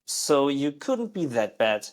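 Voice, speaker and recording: Podcast host guy asking questions, keeps restarting his sentences, laughs a bit, middle pitch voice. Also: big pitch swings, takes it slowly. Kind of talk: casual interview.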